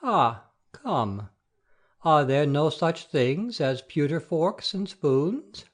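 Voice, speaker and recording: A woman playing a gentle, grave elderly male bishop with a deliberate, mild delivery in a classic audiobook drama. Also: sits low, big pitch swings, exaggerated voice. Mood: mildly amused. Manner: deliberate, mild, pausing between phrases.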